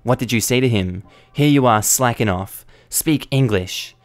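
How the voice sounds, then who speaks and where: A man's voice: medium pitch, measured pace, dry recording, soft background music, close to an everyday voice. A man voicing a rude, pushy entitled mother who is demanding and scornful, in a read-aloud story. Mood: aggressive.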